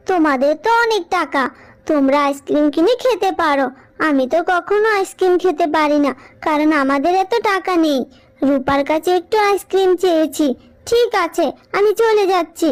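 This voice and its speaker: A woman voicing a sweet little girl animal in a children's fable cartoon, childlike and wheedling. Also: very high-pitched.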